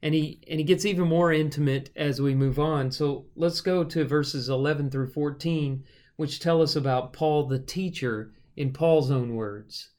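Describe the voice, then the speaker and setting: A man teaching the Bible in a conversational, measured way, moving between explanation and reading verses aloud. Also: fairly high pitch, darkish tone. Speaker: Bible study podcast host and teacher.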